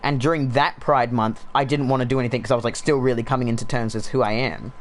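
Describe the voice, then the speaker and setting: A man's voice: mid-range voice, steady tone, fast. A young man with a laid-back Australian accent, chatting casually and sardonically while streaming a game, with lots of fillers. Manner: rambling, sardonic, frequent fillers. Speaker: gaming streamer.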